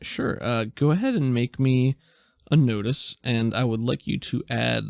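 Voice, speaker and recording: This is a game master running a tabletop roleplaying game. The voice sits at a medium pitch with a dry, close sound. The narration is casual and conversational, like talking to friends at the table. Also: a little slow, creaky, no room sound.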